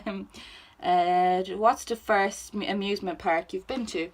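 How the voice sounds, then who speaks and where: Young woman, Irish accent, casual vlog chat, informal, self-deprecating, exclamatory, medium pitch. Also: steady tone, measured pace. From Ireland. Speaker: vlogger. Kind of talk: casual chatty question-and-answer vlog. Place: indoors at home, sitting on the floor.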